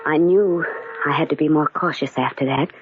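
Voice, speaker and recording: An actress playing a troubled, paranoid widow in an intense, dramatic old-time radio horror style. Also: female voice, low-pitched, normal speed, natural voice. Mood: guarded, haunted. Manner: intense, dramatic, swinging from hushed to hysterical. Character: troubled, haunted invalid widow narrating her descent into paranoia. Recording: dull old radio recording under loud music.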